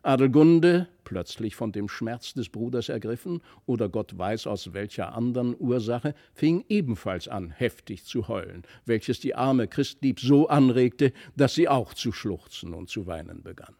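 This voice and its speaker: A man's voice: mid-range voice, expressive, a little slow, vocal fry, few pauses, mellow, no room sound, clean studio sound. A man narrating a literary fairy tale expressively, as an audiobook reader.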